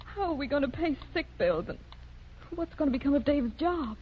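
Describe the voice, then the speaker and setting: A woman playing an anxious, distraught wife with a halting, despairing delivery in a 1930s radio drama. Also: high-pitched, very animated. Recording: noisy, muffled 1930s radio recording.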